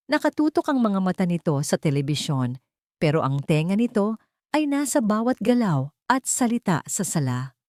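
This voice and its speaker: A woman's voice: lowish voice, warm tone, dry recording, studio quality. A woman storyteller reading a scripted, dramatic narration of a love story.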